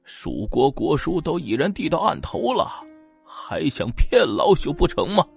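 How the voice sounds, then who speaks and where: An elderly prime minister in an audio drama, frail yet stubborn and indignant. His voice is hoarse and gravelly. He delivers his words slowly, with expressive swings in pitch. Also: faint music behind, full character voice. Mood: cold, indignant. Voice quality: hoarse, raspy.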